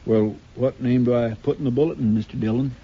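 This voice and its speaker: A man's voice: mid-range voice, fairly even intonation, brisk, slightly rough. A man playing a loyal, folksy, deferential sidekick in a 1950s radio western. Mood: eager, deferential. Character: loyal, folksy male deputy's sidekick in the Old West. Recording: muffled 1950s radio recording.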